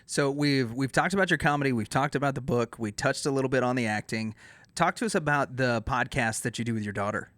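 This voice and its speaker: A man's voice: mid-range voice, quick pace, a bit bright, dry recording. A man co-hosting a podcast, asking easygoing, wry questions of a guest. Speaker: podcast co-host. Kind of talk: casual podcast interview. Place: podcast studio.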